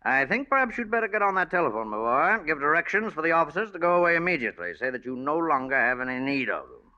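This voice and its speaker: An actor playing an older, genteel extortionist and killer, mock-polite and menacing, in 1940s radio thriller style. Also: male voice, on the high side, sing-song, fast talker, bright, forceful tone, heavily acted. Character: smooth, menacing old male extortionist and killer. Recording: dull vintage radio broadcast.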